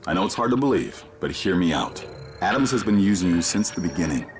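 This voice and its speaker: An actor playing a grave, mysterious male informant in a video game, with a measured, earnest, cryptic delivery. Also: low voice, expressive. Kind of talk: video game cutscene acting. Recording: dialogue under loud music.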